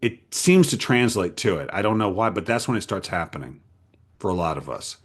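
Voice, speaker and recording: A man's voice: fairly low pitch, expressive, fairly quick, slightly rough. A man from Rhode Island hosting a casual conversational interview, effusive and exclamatory, often jumping in. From Providence, Rhode Island, United States. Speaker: podcast interviewer and host.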